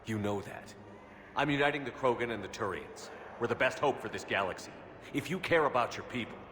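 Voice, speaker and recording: An actor playing a steady, resolute military commander in a video game, with a firm, terse delivery. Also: male voice, mid-range voice, fairly quick, noisy background, lightly acted. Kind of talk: video game drama acting.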